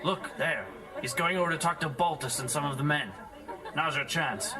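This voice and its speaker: A man's voice: a little high, normal speed, far from the mic, crowd noise, natural voice. A man playing a goading, eager sidekick to a village bully in a theatrical audio drama.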